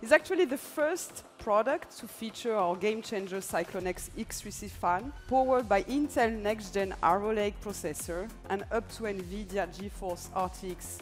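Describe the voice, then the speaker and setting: A woman gives an upbeat, rehearsed product pitch from a large arena stage, speaking into a headset mic. Her pitch sits mid-range, and soft music plays underneath. The delivery is scripted and polished. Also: normal speed, hardly any pauses. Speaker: product presenter. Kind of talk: scripted keynote presentation.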